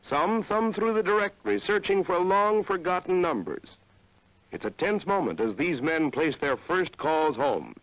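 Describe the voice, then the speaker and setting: Lively 1940s American film narrator, male. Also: sits high, a little slow, dry recording, low-quality recording. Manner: earnest, polished, formal. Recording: vintage 1940s film soundtrack.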